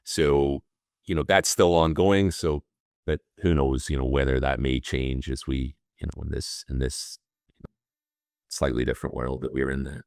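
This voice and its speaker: Scottish man, fifties, very low voice, smooth, relaxed interview guest, fillers and restarts. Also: moderate pace, no echo, clean studio sound. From Scotland. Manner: thoughtful, many fillers and restarts. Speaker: guest, audit partner. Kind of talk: casual interview talk.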